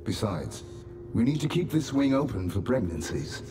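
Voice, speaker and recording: A man's voice: medium pitch, sing-song, normal speed, over music, close to an everyday voice. A man acting a stern, coldly dismissive colony leader, authoritative and condescending, in a video game cutscene. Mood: cold, commanding. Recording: clear ambient noise behind.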